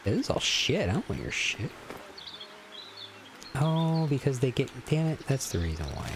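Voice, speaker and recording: A man's voice: mid-range voice, very animated, normal speed, background noise. A man in his forties chatting casually and crudely while streaming a video game, joking with his viewers in mock-serious banter. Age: 40s. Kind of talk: casual gaming stream chat.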